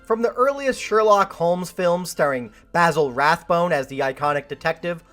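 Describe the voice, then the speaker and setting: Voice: mid-range voice, moderate pace, fairly bright tone, soft background music. A video essay host delivering scripted narration in a wry way with jokey asides.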